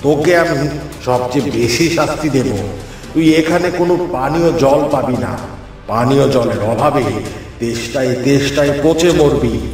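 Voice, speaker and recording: A menacing cave spirit king acted by a man speaks in a heavy, creaky voice, taunting and passing judgment. The cartoon delivery is slow, set far back in deep echo with music behind it. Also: darkish tone, close to an everyday voice. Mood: cursing. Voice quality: heavy, gravelly voice. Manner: slow, taunting, booming pronouncements. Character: menacing cave king spirit, judging and cursing trapped men. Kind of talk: cartoon fairy tale acting.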